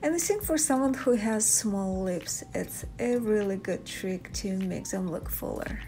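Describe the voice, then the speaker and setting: A woman's voice: medium pitch, expressive, unhurried, mellow, slight background noise. A young woman, a native Russian speaker with a Russian accent, presenting a makeup tutorial to viewers as a beauty vlogger. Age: young adult. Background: background music.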